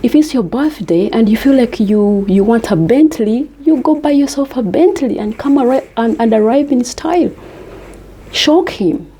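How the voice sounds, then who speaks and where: A woman's voice: a little high, lively intonation, normal speed, dark tone, a little hiss or hum. A woman from Uganda, giving earnest, emphatic life advice to camera and repeating her key points.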